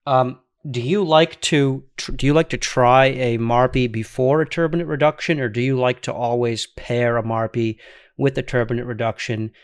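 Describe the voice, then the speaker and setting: A man's voice: mid-range voice, fairly even intonation, moderate pace, clear, resonant tone. A man with a mid-range voice hosting a casual podcast interview. He asks curious follow-up questions and sums up the guest's points. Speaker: podcast host.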